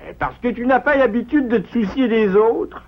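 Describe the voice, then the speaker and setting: A man's voice: very high voice, normal speed. A man voicing a cheeky, greedy, lazy animal sidekick in a French cartoon, with a whiny, wheedling manner. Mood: grumbling. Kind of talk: French cartoon dub acting. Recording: muffled, dull sound.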